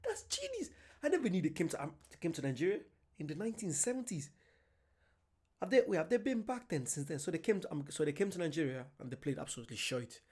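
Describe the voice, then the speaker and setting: Excitable man reacting on camera. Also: on the high side, quick pace, breathy edge. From Ireland. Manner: excitable, chatty, laughs often. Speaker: reaction vlogger. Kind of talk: casual reaction commentary.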